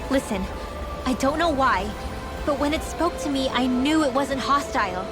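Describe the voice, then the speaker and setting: A woman's voice: high-pitched, animated, moderate pace. An actress playing an earnest, headstrong young girl mage in a video game, youthful and insistent, with music behind. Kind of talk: English video game cutscene acting.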